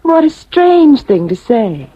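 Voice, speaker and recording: A woman's voice: high voice, very animated, slow, warm tone, dry recording, full character voice. An actress playing an alluring, mysterious young woman with a salesy polish, in an old radio drama, with an American accent. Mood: coy, dreamy. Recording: dry, muffled old radio recording.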